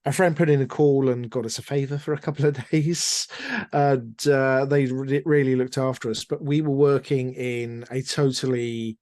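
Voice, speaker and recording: A man's voice: mid-range voice, fairly even intonation, moderate pace, a little mellow, no echo. Wry middle-aged man, dry sound. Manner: wry, self-deprecating, chatty. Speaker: interview guest, audio drama producer. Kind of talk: casual interview storytelling.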